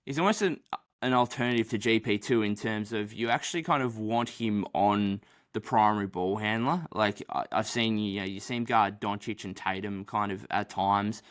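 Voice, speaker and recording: A man's voice: lowish voice, moderate pace, a bit bright. A young man co-hosting a casual sports podcast, rambling with many fillers and restarts, his voice dry this early in the morning. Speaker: podcast co-host.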